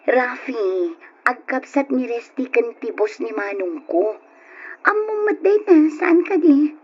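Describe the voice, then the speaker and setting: An affectionate young female student with a high, breathy voice. She is teasing yet dutiful, acted in old radio melodrama style, and the recording sounds muffled. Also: animated, slow pace, full character voice. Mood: teasing, exasperated. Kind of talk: old radio drama acting. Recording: dull lo-fi radio drama recording.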